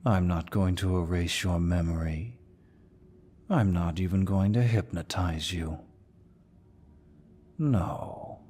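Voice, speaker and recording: A man playing a menacing demon lord in an ASMR fantasy roleplay, with a deep, gravelly voice and a dominant, smug, taunting manner. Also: darkish tone, a little hiss or hum. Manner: dominant, smug, slow and deliberate.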